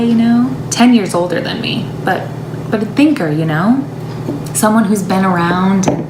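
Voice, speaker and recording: A woman's voice: mid-range voice, natural voice. A woman acting a chatty, wistful, self-deluding woman who confides in her sister in a confessional stage monologue. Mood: hopeful, insistent. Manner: confessional, rambling, emphatic. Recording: echoey room with background noise.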